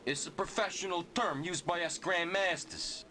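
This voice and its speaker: An actor playing a smug, self-important railway station master who boasts about chess. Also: male voice, high voice, normal speed, sharp tone, slight background noise. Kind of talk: 1990s adventure game voice acting.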